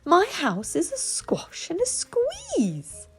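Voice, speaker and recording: High, swooping woman acting a fretful little old lady. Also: wildly swooping pitch, fairly bright tone, soft background music, heavily acted. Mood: exasperated wail. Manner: dramatic, drawn-out pleading and wailing. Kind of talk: children's storybook character voice.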